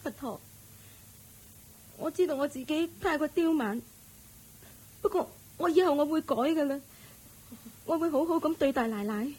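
An actress playing a contrite young daughter-in-law in a Hong Kong Cantonese radio drama, earnest and deferential. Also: female voice, sits high, normal speed, pauses often, a little mellow, natural voice, rough recording. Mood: contrite, anxious.

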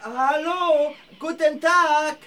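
A woman's voice: high-pitched, steady tone, extremely slow, fairly bright tone, slight background noise, full character voice. A woman playing a friendly young African hotel boy who speaks eagerly in simple, broken grammar in a children's audio drama. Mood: welcoming.

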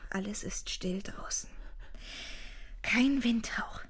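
An actress playing a dikemaster's worried wife who speaks in a hushed, breathy, soothing way in a radio drama. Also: female voice, mid-range voice, slow pace, in a whisper. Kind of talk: German radio drama acting.

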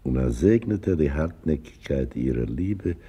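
An actor playing a wry, patient older man in a radio drama, speaking gently and deliberately in a gravelly, husky voice. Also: extremely low pitch, lively intonation, heavily acted. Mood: measured storytelling. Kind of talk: theatrical radio drama acting.

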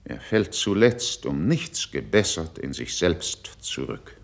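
A worldly older statesman of the court, very deep and creaky in voice. He speaks classical verse with measured rhetoric and a wide, lively melody, critical yet in control of himself. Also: exaggerated voice. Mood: reproachful, indignant. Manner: measured, rhetorical, emphatic declamation. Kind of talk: classical German verse radio drama acting.

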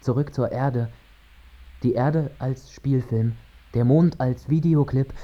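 A man's voice: mid-range voice, slow, dull audio, natural voice. An actor playing a man who muses like an astronaut on the moon, in a literary, declamatory radio monologue. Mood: incantatory, solemn. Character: male narrator musing like an astronaut on the moon.

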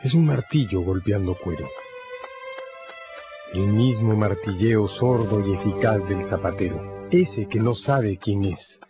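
A man narrating a radio drama in a scripted, dramatic storytelling style. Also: on the low side. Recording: dull lo-fi audio under music.

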